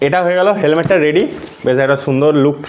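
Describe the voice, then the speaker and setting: A man's voice: a little high, fast talker, slight background noise. A man narrating a casual step-by-step tutorial, explaining things and mixing in English words. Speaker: host of a motorcycle gear tutorial.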